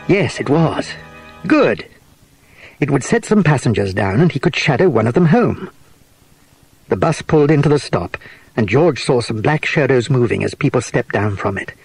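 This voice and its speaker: Guy narrating kids audiobook story, mid pitch, bit of music under. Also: sing-song, normal speed, vocal fry, long pauses, slightly rough. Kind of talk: scripted children's story narration.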